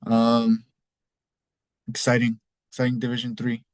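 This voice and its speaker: A man's voice: mid-range voice, monotone, unhurried, no room sound. A man with a Mexican accent hosting a casual league recap podcast, rambling loosely and cussing now and then. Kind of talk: casual solo recap talk.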